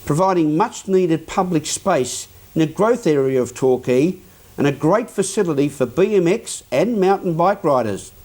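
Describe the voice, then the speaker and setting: An Australian man presenting local TV news, reading story introductions in a measured way. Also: fairly high pitch, animated, a little mellow.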